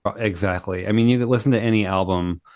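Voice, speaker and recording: A man's voice: fairly low pitch, quick pace, a little mellow, no room sound. A man, a singer-songwriter, chatting as a guest in an upbeat, enthusiastic way over a video call. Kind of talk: casual interview chat.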